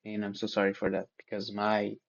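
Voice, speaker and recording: A man's voice: on the low side, completely flat delivery, fairly quick, vocal fry, dull audio. A man for whom English is not a first language, taking notes aloud in a casual study vlog, hesitant and restarting as he searches for words. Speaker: learner sharing notes.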